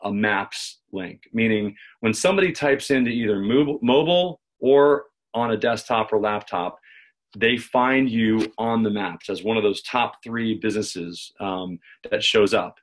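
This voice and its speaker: A man's voice: lowish voice, measured pace. American man explaining in a tutorial. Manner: conversational, repeats and restarts phrases often. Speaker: presenter, local marketing consultant. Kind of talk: informal screen-share tutorial, explaining. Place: small home office indoors.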